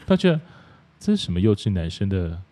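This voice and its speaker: A man's voice: deep voice, very animated, brisk, dark tone. A man with a Taiwanese Mandarin accent hosting a podcast in a casual, frank chat style. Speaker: podcast host.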